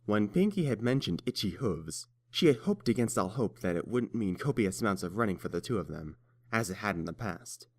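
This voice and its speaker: A man's voice: fairly low pitch, sing-song, brisk. A man reading scripted story narration as the narrator of a fan fiction tale.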